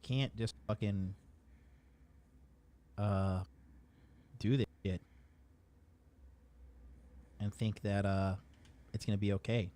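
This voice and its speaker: A man with a fairly low voice comments on a video. He lectures bluntly and swears freely, and keeps it casual. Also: steady tone, takes it slowly, long pauses, dark tone.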